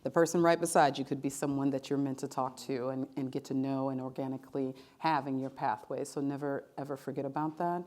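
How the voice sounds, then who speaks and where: A woman's voice: rumbling low, fairly even intonation, a little fast, few pauses, darkish tone. A woman moderating a career panel, introducing guests and posing questions in an upbeat tone.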